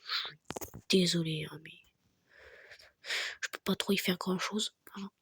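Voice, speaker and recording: A young man with a strikingly high, boyish voice talks slowly and softly, often close to a whisper, as he rambles through his gameplay. His nose sounds stuffed up from a cold. A steady hiss of noise sits under the recording. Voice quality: very high, childlike voice. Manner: soft, half-whispered, rambling. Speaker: gaming YouTuber. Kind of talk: gameplay commentary.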